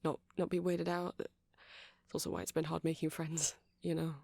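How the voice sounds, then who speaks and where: A man's voice: on the high side, little pitch movement, normal speed, creaky, darkish tone, no room sound, studio quality. A man playing a shy, earnest, nerdy vampire in an ASMR roleplay, speaking in a hesitant, rambling, stammering way. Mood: earnest, defensive. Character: shy, earnest, nerdy male vampire running a music shop.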